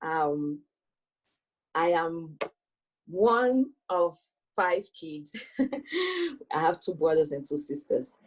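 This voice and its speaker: A woman's voice: low-pitched, big pitch swings, extremely slow, pauses often, breathy edge, poor audio. A woman, telling her life story as an interview guest over a video call, in a thoughtful way with many fillers. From Cameroon. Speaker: guest, electrical engineer.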